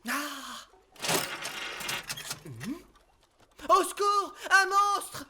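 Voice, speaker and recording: A woman playing a small, excitable, kindly young character in a cartoon. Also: extremely high pitch, very slow, background noise, full character voice. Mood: frightened. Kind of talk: French-language animated film dialogue.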